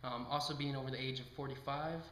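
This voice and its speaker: Мужчина играет вежливого доктора, яркий голос, гулко. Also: male voice, mid-range voice, steady tone, quick pace, a bit bright, close to an everyday voice. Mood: explanatory. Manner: explanatory, polite. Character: helpful, patient male doctor guest in puppet show. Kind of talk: amateur scripted health explanation. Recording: echoey room, noticeable background noise.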